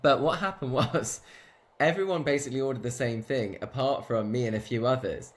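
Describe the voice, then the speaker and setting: A man's voice: medium pitch, fairly quick. Chatty man telling stories. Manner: chatty storyteller, laughs often. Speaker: co-host. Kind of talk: casual chat.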